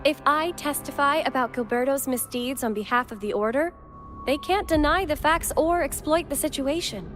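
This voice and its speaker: A woman's voice: high-pitched, normal speed, fairly bright tone, soft background music. An actress playing an earnest, devout young woman in a video game, speaking formally. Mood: resolute.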